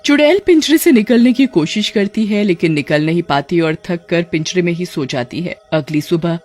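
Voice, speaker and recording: A man narrating a scripted animated story in the role of storyteller. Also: high voice, moderate pace.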